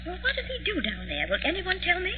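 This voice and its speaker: A fed-up, scolding housewife in a vintage radio play. The voice is high and swooping, nagging at the family. The sound is dull and reverberant. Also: female voice, wildly swooping pitch, fast talker, full character voice. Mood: exasperated. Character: nagging, exasperated middle-aged housewife and mother. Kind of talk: 1940s radio drama acting. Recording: dull, echoing, hissy old radio recording.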